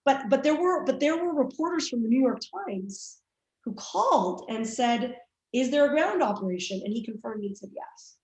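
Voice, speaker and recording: A woman's voice: high-pitched, expressive, moderate pace, a little mellow, echoey room, low-quality recording. A woman from Canada, a journalist answering interview questions candidly, with many restarts and fillers. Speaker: journalist guest.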